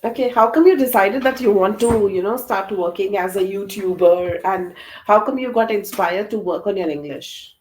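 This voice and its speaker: A woman's voice: mid-range voice, normal speed, a little mellow, rough recording. A woman, leading an English practice session as mentor over a video call, often repeating words as she prompts. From Delhi, India. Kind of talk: hosting a conversation practice session.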